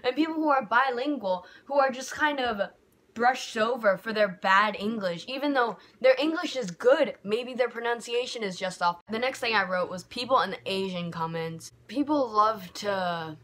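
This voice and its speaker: A woman's voice: fairly high pitch, moderate pace, a bit bright. A young American woman vlogging about her feelings in a candid, rambling way, full of "like" and restarts, growing heated when frustrated. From United States. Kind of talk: personal reflective vlog. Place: home bedroom by a window.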